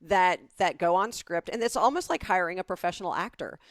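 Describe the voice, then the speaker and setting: Lower-voiced woman explaining things on a podcast. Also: expressive, brisk, no room sound, clean studio sound. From Southern US. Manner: explains at length, keeps asking "right?". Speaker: podcast co-host. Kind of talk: casual conversational explaining.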